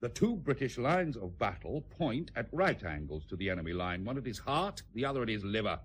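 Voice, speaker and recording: An actor playing a fiery, vain British admiral with an emphatic, explosive manner, in a radio drama. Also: male voice, mid-range voice, sing-song, a little fast, slightly rough. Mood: intense, proud.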